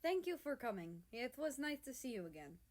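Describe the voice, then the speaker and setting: A woman's voice: high-pitched, big pitch swings, a little fast, pitched above the performer's own voice. A woman voicing an affectionate, teasing man who is a protective older brother in a read-aloud story.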